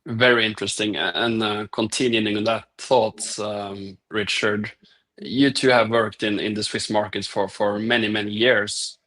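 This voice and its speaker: A man moderates a live panel, turning politely to each guest with a question. His voice sits low yet sounds bright and forceful. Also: fairly even intonation, measured pace.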